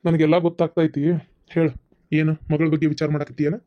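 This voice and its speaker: A man's voice: fairly high pitch, little pitch movement, fast talker, close to an everyday voice. A man voicing a stern, proud grandfather in a cartoon family drama, scolding and dismissive, with a North Karnataka accent. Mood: stern, bitter. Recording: dull, muffled lo-fi sound.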